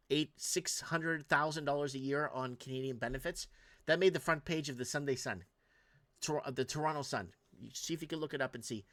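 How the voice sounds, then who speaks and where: A man hosts a reaction show, commenting on viral clips in an indignant, rambling rant that keeps restarting. His voice sits a little high for a man. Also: fairly bright tone. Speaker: host.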